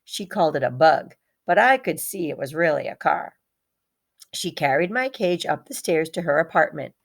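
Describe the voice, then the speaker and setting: A woman's voice: low voice, expressive, moderate pace, vocal fry, full character voice. A woman voicing a small, proud, heartbroken male pet hamster in a dramatic children's storybook read-aloud, repeating words for emphasis. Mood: proud, pleased.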